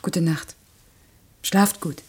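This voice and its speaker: A middle-aged woman playing a harried, strict working mother in a naturalistic German radio play, with brisk, clipped delivery. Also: on the low side, a little mellow, breathy edge, full character voice. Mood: weary.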